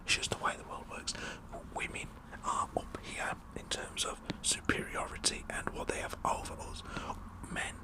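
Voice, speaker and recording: British bloke doing asmr, breathy whispering the whole time, rambly comic monologue, kinda cheeky. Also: male voice, moderate pace. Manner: rambling, tongue-in-cheek, repeats words for effect. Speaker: ASMR performer.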